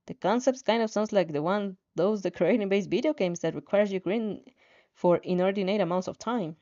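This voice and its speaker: A woman's voice: mid-range voice, a little fast, dark tone, dull audio. A woman reading a story aloud, often stumbling and repeating words as she narrates. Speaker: fan fiction narrator.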